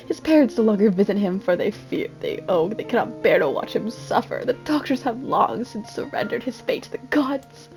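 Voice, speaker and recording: A woman's voice: on the high side, darkish tone. Woman reading dramatically with casual asides, music behind. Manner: self-deprecating, often breaking off to comment on her reading. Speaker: gamer reading a video game's story aloud.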